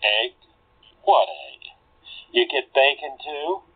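A man's voice: medium pitch, sing-song, takes it slowly, breathy edge, exaggerated voice. Tinny phone voice, wisecracking male fence. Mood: dismissive, mocking. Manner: streetwise, slangy, mocking. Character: wisecracking male fence and con man, teasing, dismissive. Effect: telephone filter. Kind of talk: audio drama acting. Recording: tinny narrow phone line.

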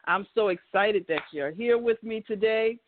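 A woman's voice: mid-range voice, normal speed, slight background noise. An American woman hosting an online talk show in an upbeat, chatty, devout and exuberant way. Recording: band-limited phone-like line, music behind.

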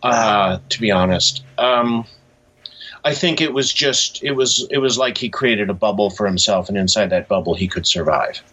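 A man's voice: fairly low pitch, moderate pace, pushed and bright. A man being interviewed as an author, reflecting in a hesitant, thoughtful way as he talks. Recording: dull, lo-fi sound.

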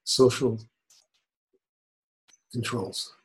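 An older man who is a spiritual teacher and author, answering interview questions in a measured, thoughtful way. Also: medium pitch, flat delivery, rough recording.

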